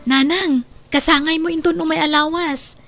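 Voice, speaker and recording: A woman's voice: high voice, fairly even intonation, moderate pace, a bit bright, soft background music. A woman playing a caring, hardworking young adult daughter in an Ilocano audio drama, speaking with an Ilocano accent.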